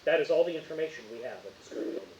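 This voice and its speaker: A man's voice: a little high, animated, very fast. A man acting as game master, reading out case details and refereeing a tabletop roleplaying game. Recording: muffled, hissy, roomy home recording.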